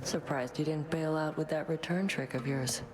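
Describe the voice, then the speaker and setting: Woman doing tough young rival witch in a game, low and cocky, loud music under it. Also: steady tone, normal speed, lightly acted. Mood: taunting. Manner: blunt, cocky. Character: brash, combative young witch rival, taunting. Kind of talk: video game acting.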